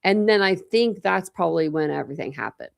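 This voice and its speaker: A woman in her forties chats loosely with her livestream audience, restarting her sentences as she thinks aloud. Her voice sits a little low and carries a gravelly edge. A slight lisp from a dental retainer softens some of her words. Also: a little fast. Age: 40s. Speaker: true crime livestream host. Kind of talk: casual livestream chat with viewers.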